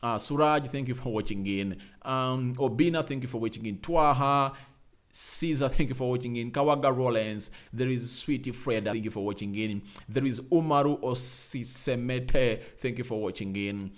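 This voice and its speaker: A young man hosting a casual fan live stream, reading out viewer names and comments, repeating himself and pushing up to loud calls for likes. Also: medium pitch, normal speed. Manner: emphatic, rising to loud calls. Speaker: fan channel host.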